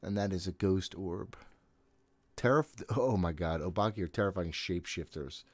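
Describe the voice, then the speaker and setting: Deep-voiced man, jittery game commentary. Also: moderate pace, no room sound. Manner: jittery, exasperated self-talk; frequent swearing. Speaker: game streamer. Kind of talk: casual horror game commentary. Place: small home room, indoors. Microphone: desk microphone, headset worn.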